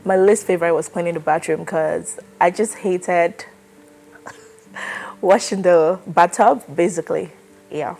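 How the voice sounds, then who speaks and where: A woman's voice: on the low side, unhurried, vocal fry. Chatty young woman from Nigeria. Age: 20s. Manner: relaxed, chatty, many fillers like "like" and "you know". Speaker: interviewee. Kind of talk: oral history interview.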